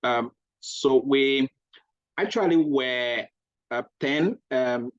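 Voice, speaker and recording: A slow-speaking man presents for his group in an online workshop. He is polite and halting, leaning on fillers. The call audio is dry and somewhat dull. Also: mid-range voice, no room sound. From Ghana. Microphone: video call.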